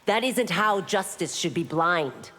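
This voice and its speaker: A woman's voice: on the low side, animated, unhurried, a bit bright, soft background music. A woman playing a stern, proud female constable in a fantasy video game, formal and authoritative. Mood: disdainful. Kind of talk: video game character dialogue. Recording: long hall reverb, faint noise.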